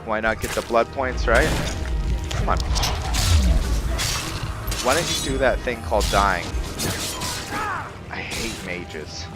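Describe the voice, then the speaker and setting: Low voiced guy doing casual gaming commentary, talking to viewers, game music pretty loud behind him. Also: animated, slow, pauses often. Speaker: gaming video host playing and commentating.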